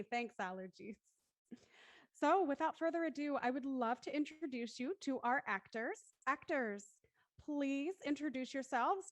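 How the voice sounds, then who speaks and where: A woman host with a slightly high voice, recorded dry and close. She speaks articulately, presenting to an audience in a livestreamed interview with a cast. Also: dry recording.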